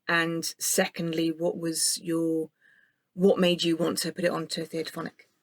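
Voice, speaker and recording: A woman's voice: low-pitched, monotone, moderate pace, no room sound. A woman interviewing a guest as podcast host in a casual, conversational way.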